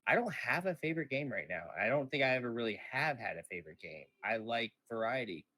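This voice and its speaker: A man's voice: medium pitch, big pitch swings, quick pace, pushed and bright, dry recording. An American man from New York City chatting casually as a guest, blunt and informal. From New York City, United States. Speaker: podcast guest, streamer. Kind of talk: casual chat.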